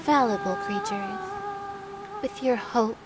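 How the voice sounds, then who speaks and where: A woman's voice: high-pitched, sing-song, slow, over loud music, heavily acted. A woman playing a contemptuous, menacing sea creature, speaking with cold, theatrical disdain in an audio drama. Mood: cold contempt.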